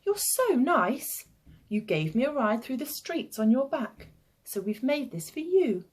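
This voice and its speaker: An eager little storybook child, played by a woman, pleads and admires in a sweet, high-pitched voice. The lines are drawn out and very animated. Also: a little slow, full character voice. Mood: admiring, earnest.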